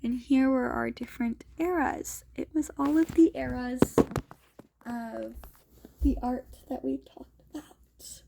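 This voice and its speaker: A young woman talks through a casual student vlog in a fairly high voice with a noticeably creaky edge. She sounds sniffly from a cold and speaks informally, thinking aloud as she goes. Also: steady tone, slow, noisy background. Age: young adult. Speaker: student vlogger. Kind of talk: casual chatty vlog walkthrough.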